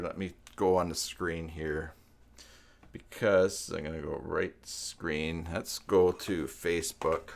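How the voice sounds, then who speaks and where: A middle-aged man hosting a casual live stream, rambling and thinking aloud, often repeating himself. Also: low-pitched. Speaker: live stream host, web tutorial maker.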